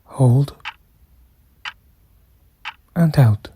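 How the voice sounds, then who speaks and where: A meditation guide speaking softly and soothingly, in measured phrases with long pauses and a breath that shows at times. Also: medium pitch, a little hiss or hum. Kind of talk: slow guided meditation narration.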